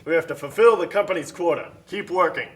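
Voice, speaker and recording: Casting: a man as a bullying puppet factory boss, high male voice, curt threats and barked orders. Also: quick pace, natural voice. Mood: bossy. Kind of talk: amateur puppet show acting.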